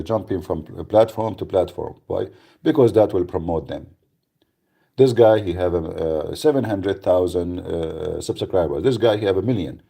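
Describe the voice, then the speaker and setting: A man's voice: low-pitched, creaky, dark tone, husky. A man hosting a live radio talk, speaking bluntly and emphatically, with frequent repeats and restarts. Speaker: radio show host.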